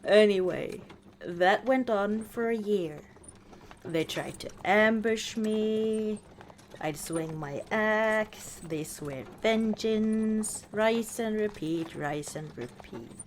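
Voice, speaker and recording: A woman voicing a proud but softening battle-scarred orc warrior wife in an intimate ASMR roleplay, speaking tenderly and haltingly. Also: mid-range voice, slight background noise, natural voice. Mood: wry, boastful. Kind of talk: ASMR roleplay, intimate one-sided dialogue. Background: outdoor ambience, horse hooves.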